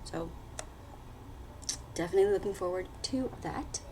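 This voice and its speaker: A woman's voice: lowish voice, normal speed. A woman vlogging a casual book haul, chatty and full of fillers, her voice a little scratchy after being sick. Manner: chatty, lots of fillers and restarts. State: slightly scratchy voice after illness. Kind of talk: casual book haul chat with read-out blurbs.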